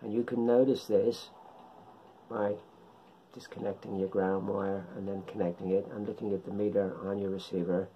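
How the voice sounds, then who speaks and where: A middle-aged man giving an informal tutorial in short, halting phrases, speaking at home into a camera microphone. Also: on the low side, little pitch movement, dull audio, slight background noise. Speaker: amateur radio hobbyist presenter. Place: indoors, living room at home.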